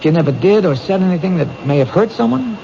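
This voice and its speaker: An actor playing a patient, thoughtful police detective who asks gentle, probing questions in a 1970s radio drama. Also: male voice, high voice, expressive, fast talker, vocal fry. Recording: dull old radio broadcast, noisy.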